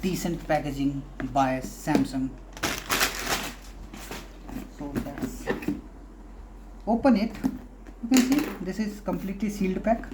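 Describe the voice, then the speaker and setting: A woman hosting a casual product unboxing, talking haltingly in short phrases with pauses. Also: on the low side, heavy background noise. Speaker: unboxing video host.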